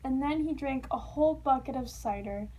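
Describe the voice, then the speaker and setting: High-voiced woman reading a children's story. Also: normal speed, a little hiss or hum, poor audio. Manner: expressive storytelling with playful sound words. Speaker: storybook reader. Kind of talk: children's read-aloud narration.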